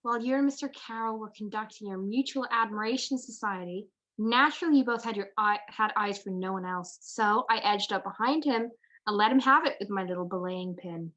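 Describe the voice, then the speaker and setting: An actress playing a detective's playful, quick-thinking wife, arch and genteel, in an old-time radio drama style. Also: female voice, medium pitch, pushed and bright, lightly acted. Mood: playful, pleased. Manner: arch, genteel, matter-of-fact. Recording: dull, lo-fi video call recording.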